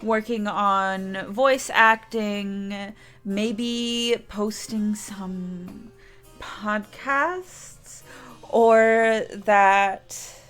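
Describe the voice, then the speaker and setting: A woman streamer talks to her viewers at an unhurried pace, her pitch sitting in an ordinary middle range. She rambles and restarts sentences as she goes. Faint music plays underneath. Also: fairly bright tone, smooth. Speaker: game streamer. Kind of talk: casual chat to stream viewers.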